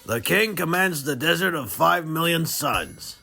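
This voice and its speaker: Casting: man to play ancient desert temple guardian, loyal and earnest, slightly high voice with gravelly edge, for audio drama. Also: lively intonation, a little slow, sharp tone, faint music behind, heavily acted. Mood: grateful, explanatory. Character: ancient male temple guardian freed from a tomb, loyal oath-keeper.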